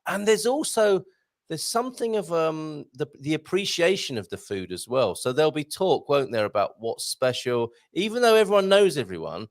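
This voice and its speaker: A man hosts a live question show with real enthusiasm. His pitch swings widely, and he stumbles over repeated words as he reads out viewer questions. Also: very animated, moderate pace. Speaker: show host.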